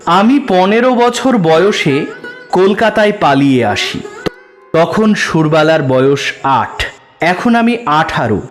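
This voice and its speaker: A man giving a measured, unhurried first-person narration of a literary story, letting his voice drop at the ends of sentences. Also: on the high side, very animated, soft background music.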